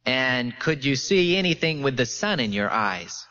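A brusque schoolboy interrogator, voiced by a man reading a novel, puts questions with studied casualness and tight control. His pitch is middling and the sound slightly dull. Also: very animated, a little slow, pushed and bright, in a large hall. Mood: probing. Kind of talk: audiobook character reading.

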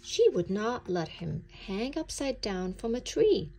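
A woman reading a children's story aloud in an expressive, deliberate way. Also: mid-range voice, low-quality recording.

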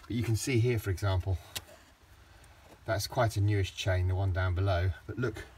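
Rambling man, low voice, outdoors. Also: steady tone, moderate pace, darkish tone, husky. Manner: rambling, with many restarts and fillers. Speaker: DIY vlogger. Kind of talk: casual hands-on demonstration. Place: outdoors in a garden.

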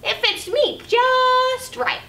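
A young woman reading a picture book aloud as a children's storyteller, with animated, exaggerated delivery, in a small room at a distance from the mic. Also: very high-pitched, takes it slowly, creaky. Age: young adult. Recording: roomy, distant camera mic, faint noise.

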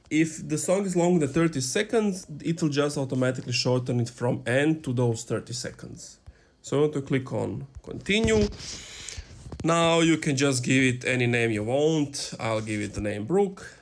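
A man in his twenties hosting a casual step-by-step tech tutorial in an informal, instructional way. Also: mid-range voice. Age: 20s. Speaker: tutorial host. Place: small room indoors.

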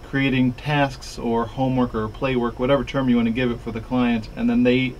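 A man's voice: mid-range voice, flat delivery, brisk, highs cut off, a little hiss or hum. American man, business coach on air. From upstate New York. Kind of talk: radio interview. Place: small radio studio. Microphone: studio boom mic.

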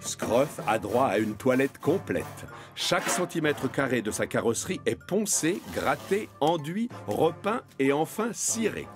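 Lively male storybook narrator. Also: medium pitch, a little slow, music in the background. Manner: expressive storyteller. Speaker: cartoon narrator.

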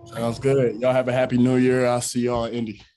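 A young man, a college football player, giving relaxed, short answers over a video call. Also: medium pitch, fairly quick, slight background noise. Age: young adult. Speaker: college football defensive lineman.

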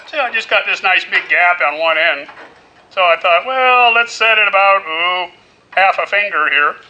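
A man's voice: high-pitched, animated, moderate pace, sharp tone, dull audio. A middle-aged man giving a casual, folksy welding demonstration in his workshop, full of "you know"s. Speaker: welding instructor. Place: indoor metal workshop.

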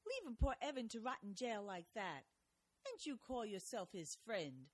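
A woman's voice: on the high side, sing-song, normal speed, breathy edge, no room sound. A woman playing a fiery scolding housewife. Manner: scolding, sing-song, dramatic swings. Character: scolding small-town housewife, motherly, fiery. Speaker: wife of the host figure. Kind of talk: amateur audio drama acting.

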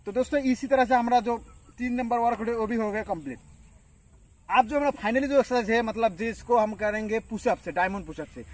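A young man in his twenties demonstrating a home workout in a field, counting reps aloud while he lifts, with many fillers. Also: high-pitched. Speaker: fitness instructor. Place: open farm field outdoors. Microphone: camera at a distance. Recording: distant outdoor camera audio, faint noise.

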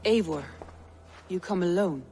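A woman playing a concerned, steady Viking counsellor in a video game, solemn in manner. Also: fairly low pitch, unhurried, natural voice. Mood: worried. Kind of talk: dramatic video game cutscene acting. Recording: music clearly behind the voice.

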